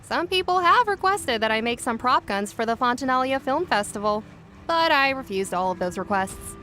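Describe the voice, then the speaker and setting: An actress playing a breezy, no-nonsense shopkeeper gunsmith in a video game, talking in a casual, blunt, animated way. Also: female voice, fairly high pitch, moderate pace. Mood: breezy, pondering. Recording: faint music behind.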